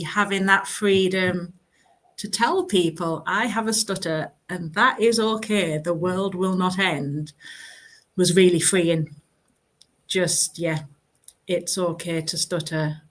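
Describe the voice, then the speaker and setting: Woman talking slow and honest on a webinar, lowish voice, a bit self-deprecating. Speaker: webinar panelist. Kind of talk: personal storytelling on a live webinar.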